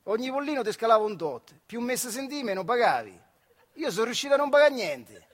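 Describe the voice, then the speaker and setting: A man's voice: very high voice, very animated, a little fast, full character voice. An actor playing a working-class factory man, telling nostalgic, wry stories in a colloquial theatrical monologue with a central Italian accent from the Marche. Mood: animated storytelling. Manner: colloquial, acting out dialogue. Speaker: stage actor, solo storyteller. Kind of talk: theatrical monologue storytelling in dialect.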